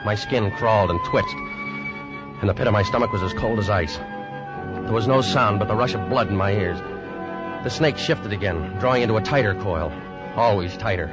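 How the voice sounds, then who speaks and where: A man's voice: lowish voice, normal speed, natural voice. An American actor playing a shaken man who tells of mortal danger at sea, with dramatic intensity, in an old radio play. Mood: tense dread. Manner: dramatic, intense first-person storytelling. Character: tense, shaken man recounting a deadly terror aboard ship. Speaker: radio drama actor narrating in first person. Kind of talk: 1940s-50s radio suspense acting. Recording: dull vintage radio recording, loud orchestral music.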